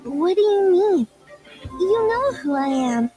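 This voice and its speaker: Very high, childlike beast girl. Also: sing-song, slow, warm tone, faint music behind, heavily acted, poor audio. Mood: puzzled, naive. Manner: hesitant, rambling, naive. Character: childlike, airy, forgetful girl beast, proud but insecure. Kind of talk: video game voice acting.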